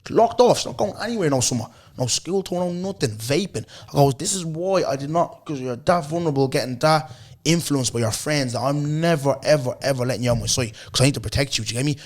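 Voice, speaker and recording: A man, hosting a podcast in loose, slangy chat, his voice hoarse. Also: fairly high pitch, quick pace. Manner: loose, animated storytelling with lots of slang and repeated "bro". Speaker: podcast host. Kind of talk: casual chat.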